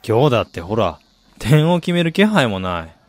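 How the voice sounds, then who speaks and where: A man's voice: medium pitch, very animated, normal speed, no room sound, natural voice. An actor playing a reflective, polite soccer fan who also narrates his own story in a radio drama. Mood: reserved. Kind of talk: Japanese radio drama acting with first-person narration.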